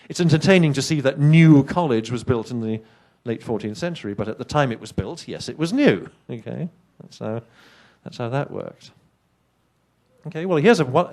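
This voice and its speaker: A middle-aged man with an English accent presents a science talk to an audience, chatty and full of wry asides. His voice sits on the higher side for a man. English is not his first language. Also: darkish tone. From England. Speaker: conference speaker.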